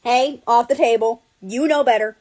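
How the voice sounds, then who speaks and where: A woman's voice: a little high, normal speed, darkish tone, close to an everyday voice. A woman voicing a feisty, playful young woman in a story reading, with an emphatic, informal way of talking. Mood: scolding.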